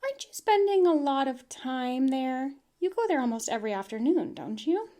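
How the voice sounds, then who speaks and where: A woman's voice: high voice, sing-song, normal speed, warm tone, voice pushed up. A woman reading the part of a worried, proper farm mother who asks anxious questions.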